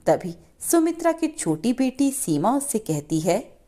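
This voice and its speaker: A woman's voice: a little high, big pitch swings, a little slow, mellow. A woman narrating a scripted moral story as storyteller.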